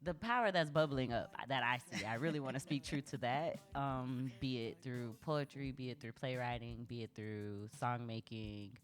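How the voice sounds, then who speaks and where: A woman with a low-pitched voice hosts a community radio show. She talks in a casual, reflective way, informal and heartfelt, often feeling around for the right word. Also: moderate pace, hardly any pauses.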